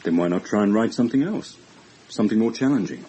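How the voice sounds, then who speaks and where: Actor as a low, mellow-voiced male doctor, hissy recording. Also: animated, lightly acted. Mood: probing. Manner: measured, probing, advisory. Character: calm, measured male doctor counselling a depressed patient. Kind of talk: radio drama acting.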